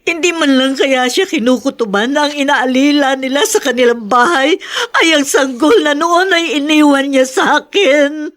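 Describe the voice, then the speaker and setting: High-voiced, plaintive foster mother. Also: normal speed, fairly bright tone. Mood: tearful pity. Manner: plaintive, respectful, emotional. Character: worried, tender-hearted foster mother, a grown daughter. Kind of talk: Tagalog radio drama acting.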